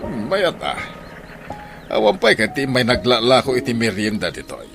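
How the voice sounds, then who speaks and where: A man's voice: mid-range voice, wildly swooping pitch, normal speed, music in the background. An actor playing a chatty, flirtatious male tricycle driver in a radio drama, with a Filipino accent.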